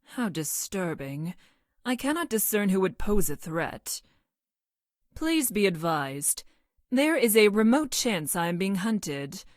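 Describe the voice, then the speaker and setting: A woman playing a logical young woman scientist from the future in a video game, speaking in formal, clinical, precise deadpan. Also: medium pitch, slow, no echo, studio quality. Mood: detached, wary. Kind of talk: video game character voice lines.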